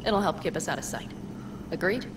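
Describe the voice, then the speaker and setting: A woman's voice: fairly low pitch, animated, fairly quick, noisy background, natural voice. An actress playing a tough, guarded young nomad woman with a blunt, wry, earthy way of talking in a video game drama. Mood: calm, friendly.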